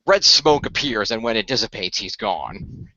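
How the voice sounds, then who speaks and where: A man's voice: fairly low pitch, lively intonation, normal speed, pushed and bright, dry recording, lo-fi sound. A man improvising present-tense narration for an amateur radio play, often stumbling and repeating phrases.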